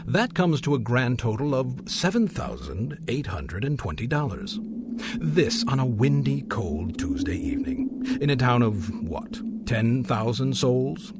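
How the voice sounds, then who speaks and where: An actor playing a smooth, sinister carnival ride mechanic with formal, oily courtesy in an audio drama. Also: male voice, medium pitch, big pitch swings, measured pace, heavy background noise. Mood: smugly polite.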